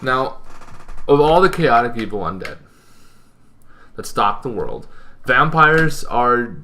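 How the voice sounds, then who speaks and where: A young man hosting an informal explainer to camera, speaking emphatically with halting, dramatic pauses. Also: medium pitch, big pitch swings, pushed and bright, faint music behind. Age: young adult. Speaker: host of a tabletop gaming series. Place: bedroom, indoors.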